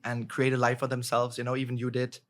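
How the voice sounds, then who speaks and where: A man in his thirties from Germany with a German accent, talking as a podcast guest in a lively, upbeat way, full of "like" and "you know". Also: medium pitch, fast. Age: 30s. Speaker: guest, travel vlogger. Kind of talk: casual podcast conversation.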